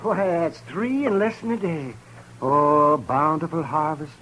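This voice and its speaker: An actor playing a greedy, ghoulishly cheerful frontier doctor, chatty and gleeful, in a 1950s radio drama. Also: male voice, a little high, unhurried, a bit bright. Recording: noisy old radio broadcast recording.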